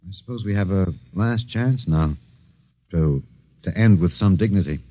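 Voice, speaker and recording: An actor playing a weary, stubborn human captive with a wry, hesitant manner in a 1950s radio drama. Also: male voice, sits low, moderate pace, warm tone, lightly acted. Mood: weary, subdued.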